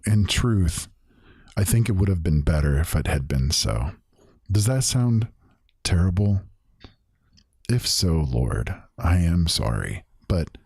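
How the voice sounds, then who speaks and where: Man acting a very deep-voiced, haunted, solemn storyteller. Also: a little mellow, slightly rough, no echo, studio quality, full character voice. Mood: anguished, remorseful. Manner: solemn, halting, archaic phrasing. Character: haunted, grief-stricken man recalling horrors of undead. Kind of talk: dramatic first-person fantasy narration.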